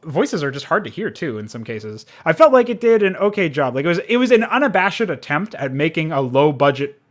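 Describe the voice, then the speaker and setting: A man's voice: a little high, very animated, a little fast. A man giving a casual, unscripted game review, rambling and informal, with frequent swearing.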